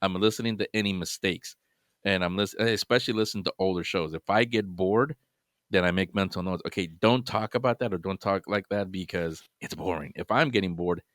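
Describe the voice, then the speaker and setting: A man's voice: sits low, a little fast, a bit bright, dry recording, studio quality. A man guesting on a podcast, giving earnest advice in casual chat, often restarting his sentences.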